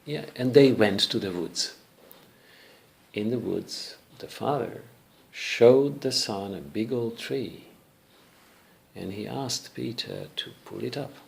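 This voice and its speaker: A male English teacher slowly telling a folktale in simple English for learners, pausing to check in with listeners. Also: lowish voice, animated, creaky. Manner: slow, deliberate, checks in with listeners.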